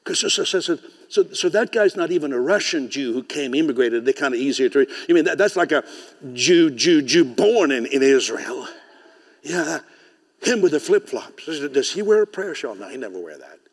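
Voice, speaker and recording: A man preaching in English, his second language, with a voice that sits somewhat high. He swings between loud, rising declarations and quiet, conversational asides, often repeating words as he gets going. A long reverb from a large hall trails every phrase. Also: expressive, moderate pace. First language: not English. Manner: preaching with sudden loud rises and soft, chatty asides. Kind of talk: sermon.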